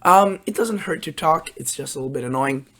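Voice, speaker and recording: Man, young adult, raspy, hoarse from a cold, rambling forecast talk, fairly high voice. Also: lively intonation, brisk, fairly bright tone. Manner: rambling, many fillers and restarts. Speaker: weather channel host.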